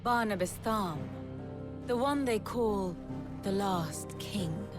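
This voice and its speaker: A knowing chronicler played by a woman recounts lore at a very slow, deliberate pace in a medium-pitched voice. The delivery is solemn, portentous game narration, set against loud music. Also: close to an everyday voice.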